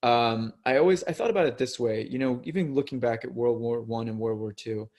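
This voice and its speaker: A man's voice: mid-range voice, fairly quick, a little mellow. Earnest American male podcast guest. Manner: earnest, thinks aloud with restarts and "um"s. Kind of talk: casual conversational interview.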